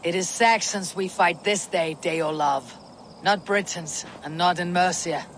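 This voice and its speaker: An actor playing a bold, battle-hardened Viking warrior leader in a video game cutscene. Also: male voice, sits high, a little slow, a bit bright, slight background noise. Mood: firm.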